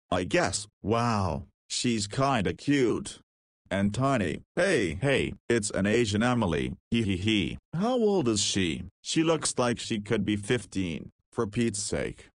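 Visual novel lead: crude nineteen-year-old wise guy, slow rambling delivery, dry close recording. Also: lowish voice, no echo. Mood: amused, rambling. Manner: rambling, wry, slangy.

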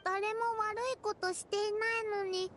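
A woman's voice: very high-pitched, flat delivery, normal speed, heavy background noise. A woman voicing an innocent, earnest little girl with a sweet, childlike voice in an anime game. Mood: puzzled, upset. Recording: game music behind.

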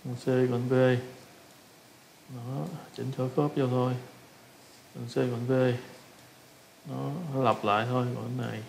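Gravelly, flat-toned Southern Vietnamese man teaching. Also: medium pitch, a little hiss or hum, rough recording. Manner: improvised, halting, repetitive step-by-step explaining. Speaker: tutorial instructor. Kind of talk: casual screen-recorded software tutorial.

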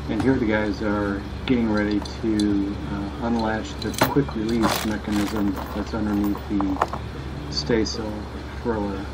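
A man, casually narrating a sailing vlog in a relaxed, conversational way. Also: on the low side, unhurried, heavy background noise.

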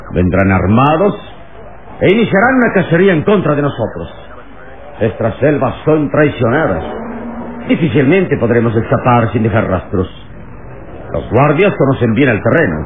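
An actor playing a calm, authoritative heroic leader in an old radio drama, speaking firmly and deliberately. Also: male voice, mid-range voice, close to an everyday voice. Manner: firm, commanding, deliberate. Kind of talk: vintage radio drama acting. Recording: tinny, noisy old radio recording.

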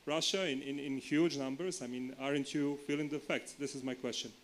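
A man's voice: medium pitch, fairly even intonation, brisk, a little hiss or hum. A man from Serbia speaking from the audience, hesitant, posing a string of questions. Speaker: audience member.

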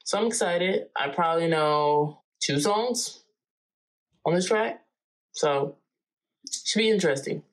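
Young woman, from New Jersey, casual reaction host, animated and chatty, small bedroom, close camera. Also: on the low side, slow pace, pauses often, poor audio. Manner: animated, chatty, hums and sings along.

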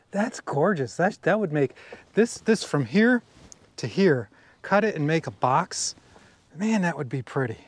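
A middle-aged man talking as he works a sawmill out in a wooded yard, his voice a little high for a man. He muses half to himself and breaks into admiring asides. The sound comes from an action camera mounted on the mill. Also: sing-song, a little slow, pauses often, breathy edge, no room sound. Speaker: sawmill operator. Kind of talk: casual running commentary while working.

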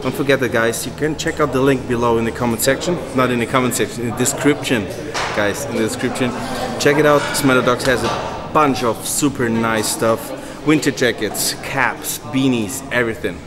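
A man's voice: mid-range voice, normal speed, few pauses, a crowd in the background. A man, joking, cursing and coaching a training partner in a large indoor gym. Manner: cheerful, cursing, bantering coach. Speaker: bodybuilder vlog host. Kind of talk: casual gym chat and coaching. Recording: echoey gym room.

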